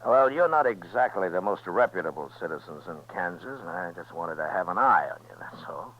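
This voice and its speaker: An actor playing a steady, wry Old West marshal in a 1950s radio western, with an American accent and a dry, laconic delivery. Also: male voice, low-pitched, fairly quick, slight background noise. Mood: cool, firm. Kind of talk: 1950s radio western acting with first-person narration. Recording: muffled 1950s radio recording with music.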